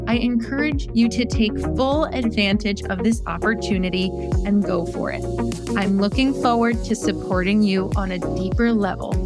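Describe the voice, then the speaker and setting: A woman's voice: mid-range voice, normal speed, loud music behind the voice. A woman hosting a podcast as a recovery coach, talking in an earnest, encouraging way. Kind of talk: scripted podcast monologue.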